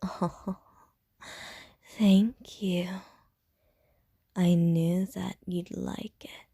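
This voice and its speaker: Brief: seductive vampire woman, voiced by a woman, breathy gravelly whisper, very slow, dark tone, ASMR roleplay. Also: lowish voice, flat delivery, no room sound, clean studio sound, heavily acted. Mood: sultry whisper. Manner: whispered, soft and teasing. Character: seductive hungry female vampire, flirtatious and coaxing.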